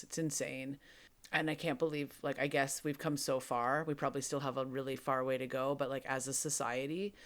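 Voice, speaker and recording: Woman podcaster doing a casual audio journal thing, thinking out loud about a book. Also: rumbling low. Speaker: book club podcast co-host. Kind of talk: casual spoken personal journal.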